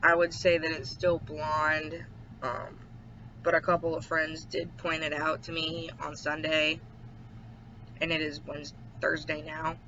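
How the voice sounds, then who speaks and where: A man chatting in a casual video diary at home, slow and hesitant with many ums, his throat a little irritated. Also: a little high, monotone, sharp tone. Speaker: vlogger. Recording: dull lo-fi with faint hiss.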